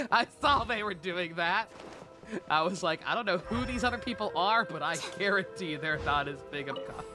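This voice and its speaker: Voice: mid-range voice, lively intonation, moderate pace. A video game streamer giving casual, joking gameplay commentary. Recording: game music behind the voice. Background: video game music.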